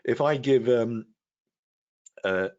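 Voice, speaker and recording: A man presenting a technical software demonstration as a guest expert, explaining step by step in a halting way. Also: mid-range voice. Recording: dull, lo-fi web stream audio.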